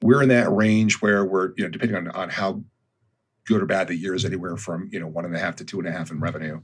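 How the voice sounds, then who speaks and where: A man's voice: low-pitched, fairly even intonation, quick pace, slightly rough. A man in his fifties, a business founder talking through his thinking as a podcast guest, with frequent ums and restarts. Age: 50s. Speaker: guest, consulting firm founder. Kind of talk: conversational podcast interview.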